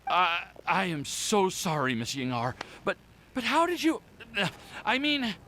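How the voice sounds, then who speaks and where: A man's voice: high voice, huge pitch swings, measured pace, sharp tone, slight background noise, full character voice. A man voicing an anxious, lovesick young male alchemist in an anime-style game, hesitant and stammering. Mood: flustered.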